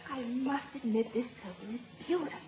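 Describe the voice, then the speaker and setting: A woman's voice: on the high side, lively intonation, brisk, roomy sound. An actress playing a fussy, proper middle-aged mother in a 1950s radio comedy. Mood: genteel, pleased. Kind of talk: 1950s radio sitcom acting. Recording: muffled old radio recording, audience noise.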